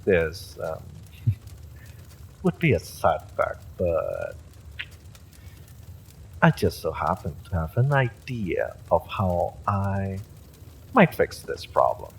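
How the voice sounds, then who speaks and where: A man playing an evil sorcerer warlord in a fantasy audio roleplay, mocking and menacing with smug courtesy and a theatrical, drawn-out delivery. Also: sits low, huge pitch swings, gravelly, a little hiss or hum, exaggerated voice, poor audio. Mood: mocking, musing. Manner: taunting, theatrical, drawn-out delivery with sinister chuckles.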